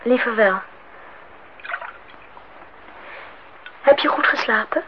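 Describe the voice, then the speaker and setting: A woman's voice: fairly high pitch, brisk, close to an everyday voice. An actress playing an earnest, questioning eighteen-year-old girl in a Dutch radio play, talking in short, probing phrases. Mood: playful, testing. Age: young adult. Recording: dull, noisy old radio play recording.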